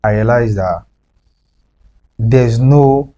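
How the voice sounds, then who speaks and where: A young man, talking casually as a writer guest, relaxed and repeating himself as he explains. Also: medium pitch, drawn-out words. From Nigeria. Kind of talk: casual conversation.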